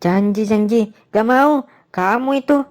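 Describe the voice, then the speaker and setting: Coquettish young wife voiced by a woman, higher-pitched. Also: unhurried, background noise, lightly acted. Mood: annoyed refusal. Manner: casual, coquettish. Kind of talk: animated drama dialogue, colloquial Indonesian slang.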